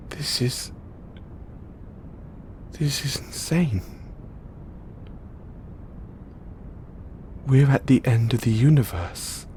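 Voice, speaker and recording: Over a faint rumbling noise, a man acts out a geeky mad scientist boyfriend in an intimate audio roleplay. He speaks slowly, stammering and hesitating, excitable and anxious yet tender. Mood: awed, hushed. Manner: halting, stammering, breathy hesitations.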